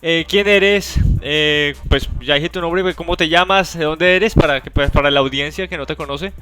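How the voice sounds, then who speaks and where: A man hosting a casual interview, informal and a little halting as he forms his questions. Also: fairly high pitch, over music. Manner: informal, halting, repeats words while forming questions. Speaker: interview show host.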